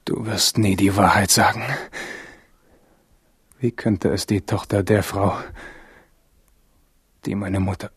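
A man's voice: sits low, level tone, slow pace, pauses often, husky, full character voice. An actor playing a passionate, jealous young king and bridegroom in a German radio drama, intense and accusing.

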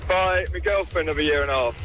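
A man's voice: fairly high pitch, quick pace, fairly bright tone, soft background music, poor audio. A male caller on a radio phone-in, matter-of-fact and casual. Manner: matter-of-fact, says mate a lot. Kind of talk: phone-in call.

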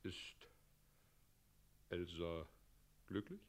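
A man's voice: sits low, big pitch swings, extremely slow, full character voice. A male actor in a German radio play, playing a pompous, gleefully cruel tyrant mayor with an imperious, mocking delivery. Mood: grandly persuasive. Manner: imperious, mocking, gloating cackles.

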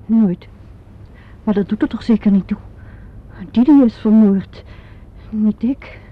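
A woman's voice: mid-range voice, takes it slowly. An actress playing a proud, loyal theatre star in a Dutch radio play, emphatic and dramatic in her delivery. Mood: defensive, firm. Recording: dull, lo-fi old radio recording.

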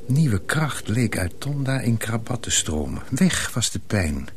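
An actor narrating a tale in a radio play, in a measured, scripted storybook manner. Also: male voice, mid-range voice, big pitch swings. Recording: faint noise on old radio recording.

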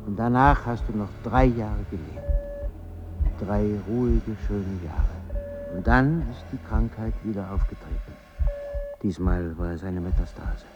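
A man's voice: fairly low pitch, measured pace, over music, natural voice. Guy acting a grieving son on his travels, intense thoughtful way of talking, radio drama, bit muffled. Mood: subdued, tender. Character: grieving son, foreign traveller recalling his father's death. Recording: slightly dull sound.

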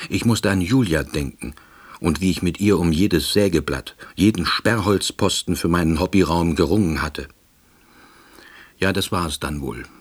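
A man's voice: sits low, husky. An actor playing a timid, wistful novice salesman, narrating a radio play in a wry, understated way. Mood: wistful, resigned. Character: timid, wistful East Berlin man turned novice salesman. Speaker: narrator and lead character.

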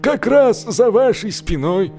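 A man voicing a gruff old lock keeper in an audiobook, blunt, emphatic and easily exasperated. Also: high-pitched, animated, slow, dark tone, faint music behind, voice pushed up, full character voice. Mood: cool, matter-of-fact.

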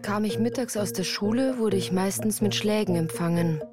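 An actress playing a grown woman who recounts a harsh childhood in measured, composed radio play narration. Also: medium pitch, over loud music, natural voice. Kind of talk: literary first-person radio play narration.

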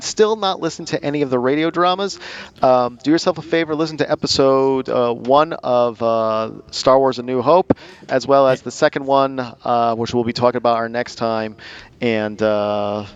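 A man's voice: mid-range voice, normal speed, smooth, highs cut off. A man hosting a podcast in a casual, chatty style with an enthusiastic manner. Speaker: podcast host.

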